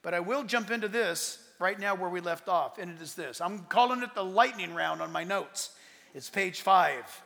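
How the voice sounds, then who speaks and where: A man preaching a fervent sermon as pastor, swinging between shouted declarations and hushed asides. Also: sits high, moderate pace, vocal fry, big-room sound.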